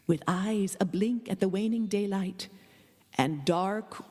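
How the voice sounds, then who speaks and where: A woman giving a dramatic live reading of a literary story, with expressive, deliberate phrasing. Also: mid-range voice. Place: indoor hall, live performance. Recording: live performance in a reverberant hall.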